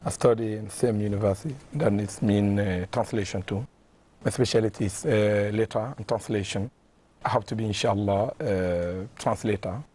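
A young man from Cameroon, captain of a quiz team, speaking hesitantly with many pauses in a TV studio. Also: lowish voice, fairly even intonation, warm tone, slight background noise. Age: young adult. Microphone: lapel mic.

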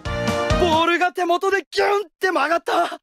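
A man's voice: very high-pitched, fairly even intonation, fairly quick, creaky, over music. A man playing an earnest, intense young male baseball player in an anime-style game. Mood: excited, fierce.

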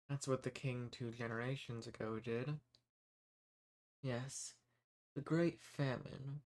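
A man's voice: mid-range voice, darkish tone, lightly acted. A man playing an arrogant, menacing archdemon. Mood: mocking, then quietly threatening. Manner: condescending, unhurried, theatrical. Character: arrogant, menacing male archdemon who strikes bargains. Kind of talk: dramatic monologue audio roleplay.

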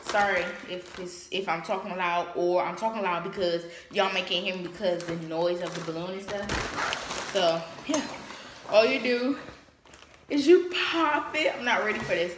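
A young woman chatting casually to camera in an excitable, informal, slangy way, as the host of a home challenge vlog. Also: medium pitch, normal speed. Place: small room at home, indoors. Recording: roomy echo, noisy home laptop recording.

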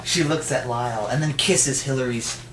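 A man's voice: medium pitch, moderate pace, heavy background noise. A young man casually reading stage directions aloud at a table read among friends. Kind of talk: slow casual script reading. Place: small living room, indoors. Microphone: handheld camera across the room. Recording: echoey, distant, group chatter.

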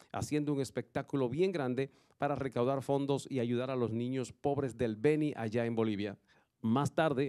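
A man's voice: medium pitch, a little mellow. A middle-aged man hosting a live cable TV show, interviewing a guest and introducing acts in an upbeat announcer manner on a handheld mic in a small studio. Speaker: TV host.

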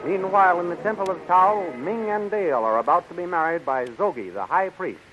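A man's voice: sits high, fairly quick, over loud music. A man with an American accent announcing a 1930s radio serial in a theatrical, declamatory scripted style. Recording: dull, noisy 1930s radio recording.

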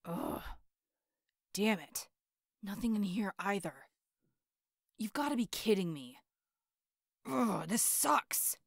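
A woman's voice: medium pitch, expressive, takes it slowly, gravelly, pauses often, dry recording, studio quality, heavily acted. A woman voicing a brash, hot-tempered teenage boy hero in an audio roleplay; he swears constantly, boasts, and snaps at everyone. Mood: grumbling.

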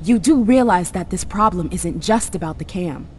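Woman acting a low-voiced, determined vampire envoy. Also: expressive, moderate pace, darkish tone, slight background noise, lightly acted. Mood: urgent. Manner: assertive, blunt, earnest. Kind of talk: video game cutscene acting.

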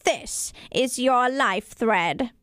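Woman acting a slow, mysterious old storybook woman. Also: a little high, lively intonation, fairly bright tone, no echo, studio quality, exaggerated voice. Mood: solemn, mysterious. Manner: slow, drawn-out, deliberate. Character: mysterious old woman offering a magic gift, solemnly warning.

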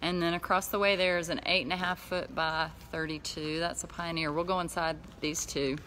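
Chatty woman, low voice. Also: flat delivery, a little fast, a bit bright, a little hiss or hum, rough recording. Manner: chatty, laughs easily, guiding viewers around. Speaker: tiny home builder giving a tour. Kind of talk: casual walking tour chat. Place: outdoor building lot and inside tiny houses.

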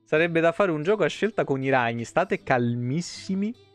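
A man's voice: fairly high pitch, expressive, normal speed. A man, live streaming casually in slangy, foul-mouthed talk and reading game lore aloud. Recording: music playing behind the voice. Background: soft tavern guitar music.

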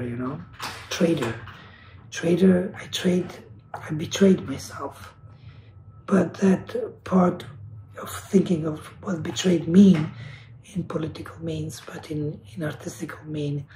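Guy thinking out loud on a panel, lots of restarts, echoey room, bit of hiss. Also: fairly high pitch. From Kosovo. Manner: earnest, searching, with frequent restarts and repeated words. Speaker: artist panelist. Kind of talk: reflective panel talk.

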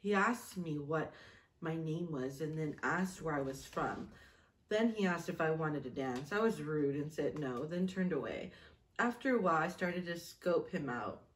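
Woman, late 30s, lowish voice, expressive casual read-aloud, roomy distant mic. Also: moderate pace. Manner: expressive, giggly, self-interrupting. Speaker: vlogger mom reading her old journal. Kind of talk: reading a diary aloud with casual asides. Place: small indoor room, seated at a table.

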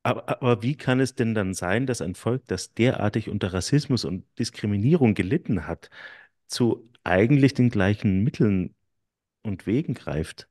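Polite man, deep dry voice. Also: lively intonation, moderate pace, darkish tone, dry recording. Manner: courteous, formal. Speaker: interviewer and magazine editor. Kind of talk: polite probing interview questions. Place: room with a grand piano. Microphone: studio mic on a boom arm.

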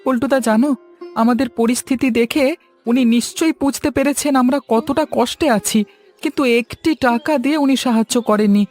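Cartoon grandson voiced by a woman: high, earnest, weary village boy, complaining over music. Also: fairly quick, darkish tone, lightly acted. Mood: weary complaint. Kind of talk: Bengali cartoon story voice acting. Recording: music behind the voice.

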